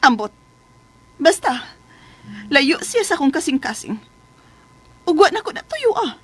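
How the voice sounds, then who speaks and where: High-pitched plaintive young Filipino wife. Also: female voice, very animated, unhurried, a bit bright, heavily acted. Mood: evasive, upset. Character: troubled young wife, suspicious, evasive, defensive. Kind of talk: Philippine radio drama acting.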